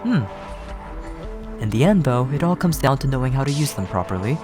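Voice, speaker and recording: A man voicing a lofty, coolly amused creator-god creature in a video game, with measured, pompous delivery. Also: mid-range voice, big pitch swings, lightly acted. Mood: self-assured. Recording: game music behind the voice.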